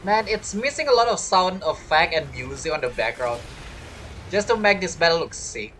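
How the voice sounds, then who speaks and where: A man's voice: fairly high pitch, big pitch swings, moderate pace, sharp tone. A man giving casual live gameplay commentary, talking as a gaming streamer. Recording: game music behind the voice. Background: video game music.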